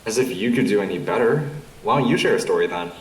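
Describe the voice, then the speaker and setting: A man's voice: fairly low pitch, expressive, quick pace, natural voice. A young man acting as a defensive guy casually telling a story to friends in an audio drama. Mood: irritated, defensive. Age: young adult. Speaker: student audio drama actor. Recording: slightly distant from the mic.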